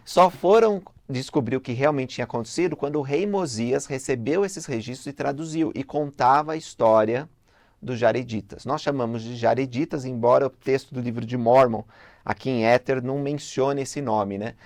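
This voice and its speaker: A man leading a relaxed religious lesson, asking questions and thinking aloud as he goes. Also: mid-range voice, moderate pace, no echo, studio quality. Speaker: gospel lesson teacher. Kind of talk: casual teaching discussion.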